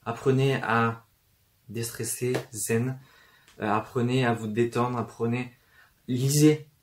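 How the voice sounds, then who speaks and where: A man's voice: mid-range voice, fairly even intonation, slow pace, slight background noise. Hesitant young man with a southern French accent. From southern France. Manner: hesitant, frequent fillers and restarts; stutters at times. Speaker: book vlogger. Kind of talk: personal vlog to camera. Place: room full of books, indoors.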